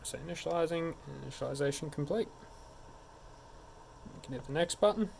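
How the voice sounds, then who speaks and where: A man's voice: fairly high pitch, fairly quick, a little mellow, low-quality recording. A man narrating a hands-on technical tutorial in an informal, step-by-step way. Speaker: tech support instructor. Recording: clear background noise.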